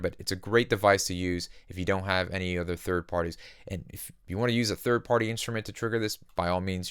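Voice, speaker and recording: A man with a low, clean, resonant voice hosts a casual production tutorial. He explains things plainly, step by step. The sound is dry and close on the mic, with no room around it. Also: fairly bright tone, smooth, dry recording. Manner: explanatory, uses simple analogies. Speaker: music production tutorial host. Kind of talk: casual software tutorial narration.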